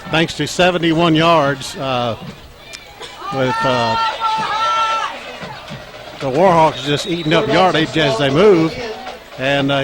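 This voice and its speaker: A man with a scratchy, strained voice calling a high school football game play by play, halting with fillers and lifting when a run breaks loose. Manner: halting, frequent fillers, rises when plays break open. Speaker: play-by-play announcer. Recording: crowd and music under the voice. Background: crowd and band music.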